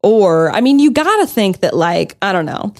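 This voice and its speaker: A woman's voice: medium pitch, big pitch swings, normal speed, a little mellow. A woman co-hosting a podcast in casual chat, talking freely with lots of "like" and easy laughter. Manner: chatty, leans on "like", breaks into laughter. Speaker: podcast co-host.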